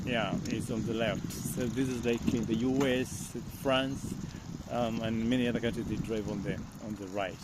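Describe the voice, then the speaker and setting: A man with a Kenyan accent hosts a casual vlog outdoors. He speaks haltingly at a medium pitch, often repeating his phrases. Loud ambient noise runs all through the recording. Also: fairly even intonation. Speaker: vlogger, host. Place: outdoors on a bicycle along village paths.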